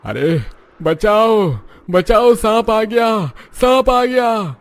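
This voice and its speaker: A woman voicing a panicked middle-aged village man who yells for help in a cartoon. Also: fairly high pitch, lively intonation, drawn-out words, heavily acted. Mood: panicked shouting. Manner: yelling for help.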